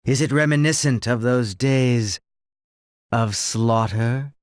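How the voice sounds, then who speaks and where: An actor voicing a gloating, manipulative, megalomaniac villain in a video game, theatrical and taunting, with grandiose delivery. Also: male voice, medium pitch, takes it slowly, no room sound, studio quality, lightly acted. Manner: taunting, grandiose, erupting into shrieks. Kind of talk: theatrical English video game dub acting. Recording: loud music under the voice.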